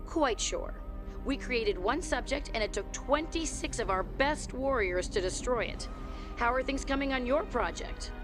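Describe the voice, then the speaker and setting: Woman acting female villain's agent, cool, businesslike, clipped, fairly high pitch, video game cutscene, music behind. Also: lively intonation, moderate pace, close to an everyday voice. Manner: clipped, formal reporting.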